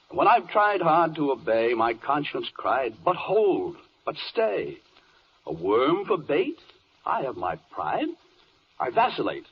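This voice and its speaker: An actor playing a droll court interpreter who speaks for a self-pitying little creature, deadpan and mournful, in 1940s radio comedy. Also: male voice, medium pitch, a little hiss or hum. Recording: muffled old radio broadcast.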